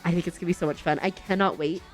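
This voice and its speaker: A woman's voice: lowish voice, steady tone, racing, soft background music. A young adult woman streaming a game, chatting in an upbeat, giggly way and a little congested. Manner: chatty, upbeat, giggly, talks to herself while building. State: slightly congested. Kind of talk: casual chat while playing a game.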